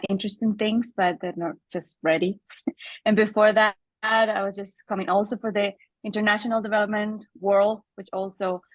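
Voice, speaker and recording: A woman from Colombia, introducing herself hesitantly on a video call with many pauses. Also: medium pitch, no echo. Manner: hesitant, many ums and uhs. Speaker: meeting participant.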